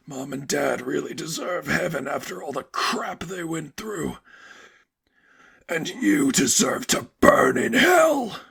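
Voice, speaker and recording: Man acting a gravelly, dying young man, defiant. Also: on the high side, very animated, a bit bright, pitched above the performer's own voice, heavily acted. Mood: bitter. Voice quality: gravelly, breathy, strained. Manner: halting, pained, drawn-out delivery.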